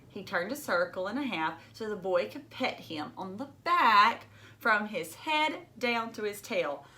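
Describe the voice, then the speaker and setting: Slow, expressive woman reading a storybook. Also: mid-range voice. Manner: expressive, with dramatic gasps and questions to young listeners. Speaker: teacher reading aloud. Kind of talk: animated children's storybook read-aloud.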